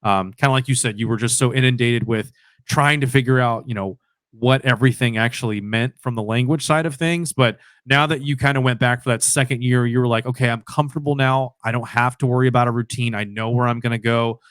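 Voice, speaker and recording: A man's voice: mid-range voice, steady tone, fairly quick, a bit bright, no room sound. A young man hosting a podcast from home, asking long, winding questions in a chatty way. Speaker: podcast host. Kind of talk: podcast interview. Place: home room with headset mic. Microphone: studio mic on stand.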